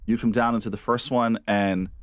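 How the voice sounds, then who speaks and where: A man acting as game master, narrating a tabletop game casually with halting phrasing and asides. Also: fairly low pitch, fairly even intonation.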